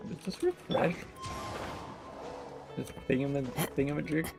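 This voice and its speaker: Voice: mid-range voice, steady tone, a little slow, creaky, music in the background. A game streamer giving casual live commentary, mumbling in a playful, slangy way.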